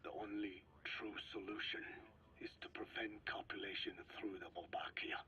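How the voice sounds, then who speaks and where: An actor playing an ancient male scientist in a video game, speaking in a measured, formal, precise way with a whispery voice. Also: on the low side, steady tone, bright, forceful tone, highs cut off, a little hiss or hum. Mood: calm, instructive.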